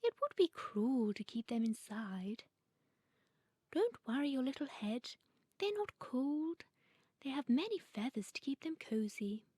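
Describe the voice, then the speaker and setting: A woman voicing a small, kindly old grandmother in a storybook, gentle and reassuring. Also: sits high, very animated, a little slow, breathy edge, no room sound, clean studio sound, exaggerated voice. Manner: gentle, soothing.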